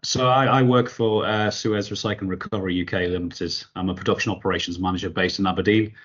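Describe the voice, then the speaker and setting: A middle-aged man, croaky with a cold, giving conversational answers as an interview guest. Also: sits low, fairly even intonation, fast, a bit bright, dull audio. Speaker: podcast guest, operations manager.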